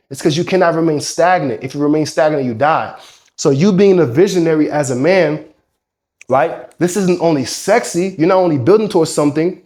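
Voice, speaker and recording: A man's voice: on the high side, darkish tone. A man with a congested voice from a cold, coaching men in an emphatic, preachy talk that swears freely. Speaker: dating coach. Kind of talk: motivational talk to camera.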